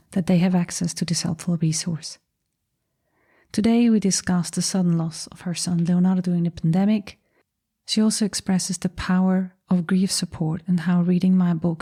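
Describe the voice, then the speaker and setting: A woman's voice: on the low side, dark tone. A woman hosting a podcast, speaking in a scripted introduction and interview style. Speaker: podcast host.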